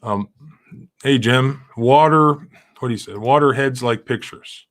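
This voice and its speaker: A man's voice: medium pitch, unhurried, fairly bright tone. Middle-aged male host, rambling and emphatic in casual commentary. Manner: rambling, many restarts and fillers, emphatic. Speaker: civil rights lawyer hosting a live stream. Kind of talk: casual live-stream commentary.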